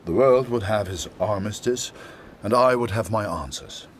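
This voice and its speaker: An actor playing a grim, vengeful middle-aged German soldier with a German accent in a game, speaking in a brooding monologue over music. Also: male voice, lowish voice, normal speed, slight background noise, lightly acted. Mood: obsessed, wounded. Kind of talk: dramatic game narration, letter-like monologue. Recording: music behind the voice.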